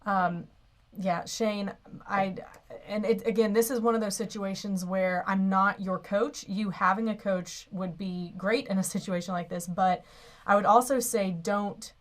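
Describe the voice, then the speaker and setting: A woman's voice: mid-range voice, fairly even intonation, a little slow. A woman co-hosting a live call-in marriage advice show, speaking to a caller in a sympathetic, reassuring way. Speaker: co-host and marriage coach.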